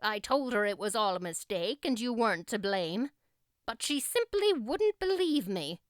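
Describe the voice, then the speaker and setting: Woman playing a brisk, stern older woman, clear-voiced. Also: medium pitch, sing-song, no echo, studio quality, close to an everyday voice. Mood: indignant. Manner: brisk, curt, no-nonsense. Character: stern, practical older female guardian, gruffly kind. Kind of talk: audiobook character acting.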